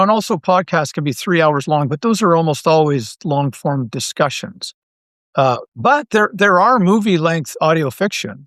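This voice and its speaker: A man's voice: fairly high pitch, a bit bright, dry recording, studio quality. A man speaking casually as an interview guest, thinking aloud in a rambling way with false starts and repeated words. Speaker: interview guest, audio drama writer and creator.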